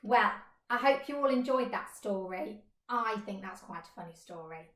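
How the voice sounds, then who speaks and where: A woman's voice: medium pitch, animated, normal speed, a bit bright. A woman teacher reading a children's story expressively in a roomy space.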